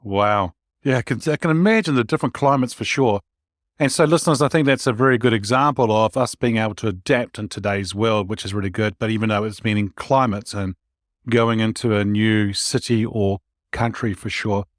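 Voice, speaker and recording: A man's voice: lowish voice, fairly even intonation, fairly quick, dry recording, studio quality. A man with an Australian accent hosting a leadership podcast, upbeat, often restating his guest's points. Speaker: podcast host. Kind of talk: interview host chat.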